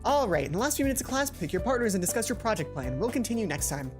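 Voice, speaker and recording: A man's voice: sits high, sing-song, rapid-fire, over music, pitched above the performer's own voice. A man voicing a breezy, indifferent woman art teacher giving offhand classroom instructions in a visual novel game.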